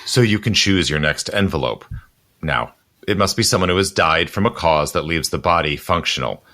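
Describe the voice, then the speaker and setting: A man's voice: sits low, lively intonation, normal speed, bright, forceful tone. A man playing a roguish, imperious protector in an audio drama, urbane, commanding, impatient and darkly flippant. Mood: matter-of-fact instruction.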